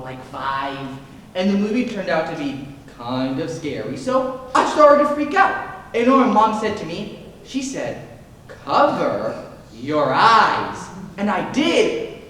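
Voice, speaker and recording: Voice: medium pitch, fairly bright tone. A young performer playing a scornful teenage student who rants and scoffs, heard on stage from far off in an echoey hall. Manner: scoffing, ranting.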